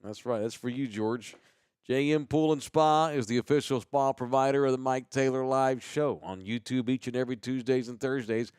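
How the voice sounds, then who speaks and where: An American man hosting a podcast with casual banter and sponsor shout-outs, talking in a slangy, rambling, profane way. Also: medium pitch, steady tone, normal speed, clean tone, no echo, studio quality. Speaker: host of a live podcast.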